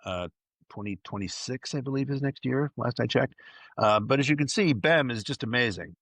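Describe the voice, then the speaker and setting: A man's voice: medium pitch, lively intonation, moderate pace, fairly bright tone, dry recording. A man presenting a fan news segment as a podcast host, partly scripted, with playful enthusiasm and wry asides.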